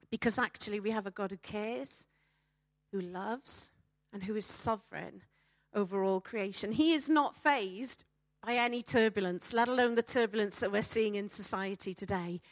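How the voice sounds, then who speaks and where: A woman with a Welsh accent preaching a sermon to a congregation, speaking emphatically with rhetorical questions and dramatic pauses. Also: medium pitch, dry recording.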